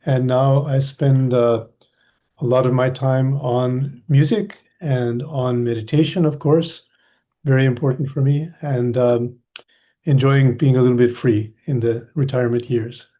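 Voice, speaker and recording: An older man from the USA introducing a meditation class in a gentle, explanatory way. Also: medium pitch, steady tone, measured pace. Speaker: meditation instructor.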